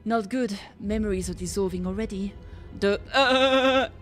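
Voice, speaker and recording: A woman's voice: medium pitch, unhurried, soft background music. A young woman streaming a game, reading the subtitles aloud with playful chatter. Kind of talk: casual gameplay commentary.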